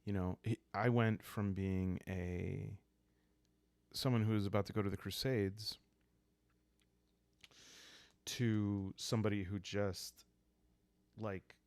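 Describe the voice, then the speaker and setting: A man tells an improvised roleplaying story in a soft, creaky voice. He speaks very slowly and calmly, pausing to think as he goes. His delivery stays within a narrow, flat range, like bedtime narration. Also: on the low side, no echo. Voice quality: soft, calm. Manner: hesitant, thinking aloud with long pauses and sighs. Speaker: host and player of a solo roleplaying game. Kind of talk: calm unscripted storytelling for sleep, journaling game playthrough.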